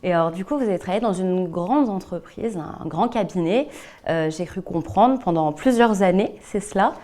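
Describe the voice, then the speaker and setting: A woman's voice: fairly low pitch, animated, normal speed, warm tone. A woman hosting an interview in an informal, conversational way, rewording and padding her questions with small tag words. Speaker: interview host.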